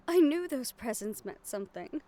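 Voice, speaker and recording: A woman voicing a gentle, wistful young noblewoman in a romantic fantasy audio roleplay, speaking softly with formal, measured diction and tender pauses. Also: high-pitched. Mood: playful, surprised.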